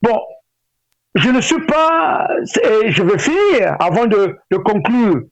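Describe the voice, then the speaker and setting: A middle-aged man with a Congolese French accent and a notably high voice. He talks as an interview guest, stopping and repeating words as he searches for the next one. The sound is dry and slightly dull, like lo-fi radio. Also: very animated, dry recording. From Brazzaville, Republic of the Congo. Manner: hesitant, halting delivery with repeated words. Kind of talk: spoken interview, opinionated discussion.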